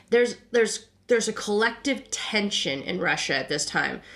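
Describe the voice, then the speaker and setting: A woman's voice: medium pitch, a bit bright. A woman hosting a podcast, telling history casually from notes in an informal way, often tagging sentences with "right?" and stumbling over words as she goes. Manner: informal, chatty, frequent "right?" tags; stumbling, self-correcting, repeats words. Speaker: podcast host.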